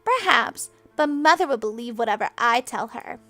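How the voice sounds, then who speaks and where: A woman's voice: fairly high pitch, expressive, moderate pace, sharp tone, no echo, faint music behind. A woman voicing a spoiled, haughty young noblewoman who taunts and sneers. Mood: taunting.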